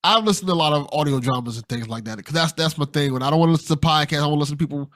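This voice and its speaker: A man's voice: fairly high pitch, racing, a bit bright, no echo, studio quality. A man hosting a podcast in a casual, conversational style, encouraging and supportive toward his guest. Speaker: podcast host.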